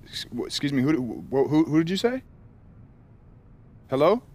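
An American actor playing an eager, easygoing young college basketball star in a video game, casual, earnest and a bit halting. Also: male voice, on the high side, sing-song, darkish tone. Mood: puzzled. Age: young adult. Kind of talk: naturalistic video game cutscene acting.

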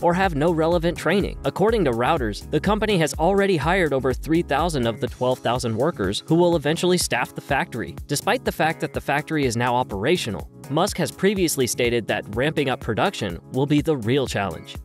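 A man's voice: a little high, expressive, a little fast, few pauses. A man reading a scripted explainer as a video narrator. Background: background music.

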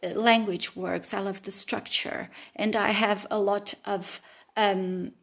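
A woman in her fifties from Portugal, speaking English with a Portuguese accent and introducing herself as a language teacher, earnest and pausing often with fillers. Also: medium pitch, fairly even intonation, vocal fry, a bit bright. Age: 50s. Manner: earnest, hesitant with frequent fillers. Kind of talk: self-introduction video. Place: room with wooden ceiling, indoors.

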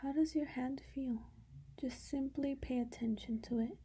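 A woman leading a guided meditation in a soft, breathy voice that often sinks toward a whisper, giving calm instructions with long pauses. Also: sits high.

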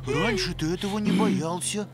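A woman voicing a timid, good-natured boy monster, a gentle sidekick in a children's cartoon, speaking in a mild, hesitant, giggly way. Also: lowish voice, steady tone, normal speed, very noisy, lightly acted. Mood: teasing. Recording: music behind the voice.

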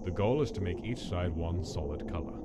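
A man voicing a low, stern scientist monster. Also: animated, very noisy. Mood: instructive. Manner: measured, formal, precise diction. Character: cold, stern male scientist monster, clipped and commanding. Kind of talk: animated comic dub acting.

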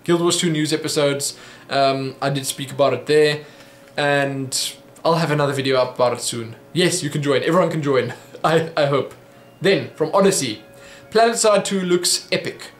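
A man's voice: fairly high pitch, normal speed, soft background music. Chatty young South African man, echoey room. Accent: South African English. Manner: rambling, chatty, frequent ums and restarts. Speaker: vlogger answering viewer questions. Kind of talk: casual Q&A monologue. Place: small home office room. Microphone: webcam at desk.

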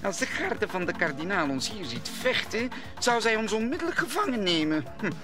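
An actor playing a prickly, affected gentleman musketeer in an audio drama. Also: high voice, very animated, moderate pace, over music. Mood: prickly, indignant.

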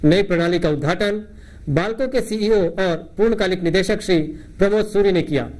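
A man's voice: high-pitched, moderate pace, darkish tone. A middle-aged man reading scripted news as a presenter, in a formal headline style.

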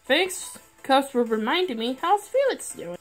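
A woman reading out questions as the narrator of a comic dub, in an animated style. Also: sits high, unhurried. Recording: background music under the voice. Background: music.

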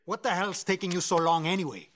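A man's voice: sits high, fast, a bit bright. A man playing an impatient, surly hired thug in a cinematic video game, curt and bullying. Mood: irritated.

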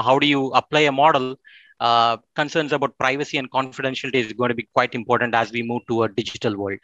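A man's voice: mid-range voice, pushed and bright, no echo. A man speaking as a panelist on a video call, explaining at length and often adding "right?" to check in. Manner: explanatory, with frequent "right?" tags. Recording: dry, dull video-call audio.

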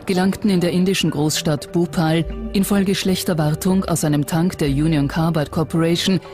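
A narrator reading a scripted documentary in a measured, factual way. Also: mid-range voice, steady tone, a little mellow. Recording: music bed under the voice. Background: music.

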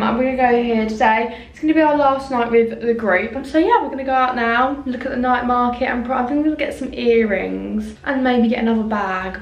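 A woman in her twenties with a British accent, chatting casually to a handheld camera as a travel vlogger. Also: a little high. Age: 20s. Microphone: camera at arm's length.

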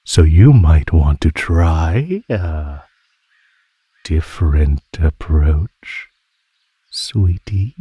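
Man voicing a very deep, teasing predatory naga. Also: expressive, dark tone, husky, no room sound, exaggerated voice. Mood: playful menace. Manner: slow, drawling, mockingly sweet belittlement. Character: teasing, predatory male naga toying with captive prey. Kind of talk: ASMR roleplay, scripted monologue.

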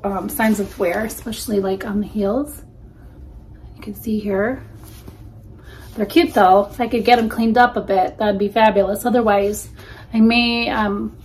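Woman in her 40s, easygoing reseller vlog host, mid-pitched, faint room hum, desk-distance camera mic. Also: darkish tone. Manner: chatty, easygoing, frequent ums. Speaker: reseller vlogger. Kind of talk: casual unboxing commentary. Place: small indoor room against a plain wall.

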